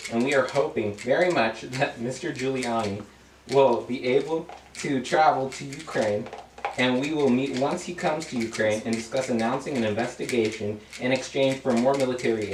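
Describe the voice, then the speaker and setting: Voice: mid-range voice, close to an everyday voice. An amateur actor playing an earnest, deferential Ukrainian president who is eager to please. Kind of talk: amateur student satire, read dialogue. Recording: roomy, distant mic, background noise.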